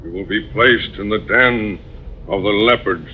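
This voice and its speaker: Guy doing a creepy solemn royal servant in a 40s radio play, super slow, low voice, muffled old recording. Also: slight background noise, lightly acted. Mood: solemn menace. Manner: slow, solemn, portentous pronouncements. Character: ominous, solemn male servant of a desert king. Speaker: radio drama actor. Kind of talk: 1940s radio acting.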